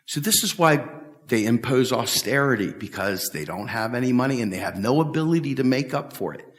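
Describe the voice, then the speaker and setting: A middle-aged man giving an animated, emphatic talk at a policy event, repeating his points and gesturing as he answers questions. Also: mid-range voice, brisk. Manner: emphatic, repetitive, talks with big hand gestures. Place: large bright hall with windows, standing before a stage table. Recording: echoey hall from far off.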